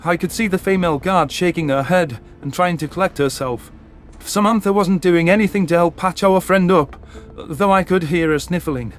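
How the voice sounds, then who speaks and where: A man's voice: high-pitched, moderate pace, hardly any pauses. A man narrating a science fiction story in the first person with dramatic delivery. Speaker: audiobook narrator. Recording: narration over background music.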